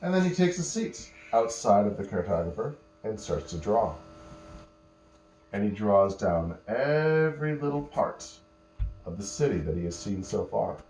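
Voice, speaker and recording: A man telling an improvised bedtime story to children, patiently explaining words and pausing mid-phrase as he goes. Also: on the low side, big pitch swings, a little mellow, soft background music. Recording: roomy echo, faint electrical hum.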